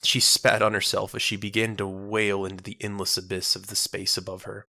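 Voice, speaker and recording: A narrator reading a scripted audio drama in a measured, solemn, dramatic manner. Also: low-pitched, completely flat delivery, creaky, sharp tone, dry recording, studio quality.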